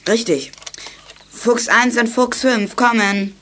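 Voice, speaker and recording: A woman's voice: fairly high pitch, fairly even intonation, takes it slowly, a bit bright. A female performer playing a cheeky, plucky young detective in a children's radio play. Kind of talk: 1980s German children's radio play acting. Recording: noisy old audio drama tape.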